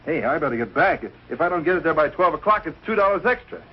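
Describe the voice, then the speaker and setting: A man plays a courteous young American college man, earnest and eager. His pitch runs a little high with lively swings. It is a muffled 1940s sitcom broadcast with faint hiss. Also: quick pace, natural voice. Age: young adult. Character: earnest, polite young college suitor working as chauffeur.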